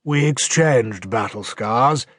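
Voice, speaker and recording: A man voicing a kindly, no-nonsense doctor's wife in an audiobook, with a croaky smoker's voice and refined English accent. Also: medium pitch, slow, a bit bright, no echo, clean studio sound. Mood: matter-of-fact. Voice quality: hoarse, croaky.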